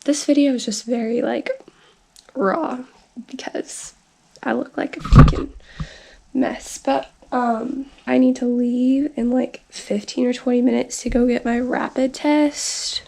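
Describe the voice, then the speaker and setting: A woman's voice: on the high side, fairly even intonation, unhurried, vocal fry, a little mellow. A woman, sick and congested, rambling through a casual video diary with lots of filler words. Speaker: vlogger.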